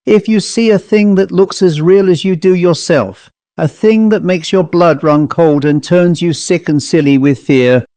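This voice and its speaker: A man plays a dapper little commercial traveller from a storybook, pitched a bit above his narrating voice. He is brisk, picky and sure of his opinions, recorded dry. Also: warm tone, no room sound. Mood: urging. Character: dapper, bright-eyed man, smart, opinionated, argumentative traveller.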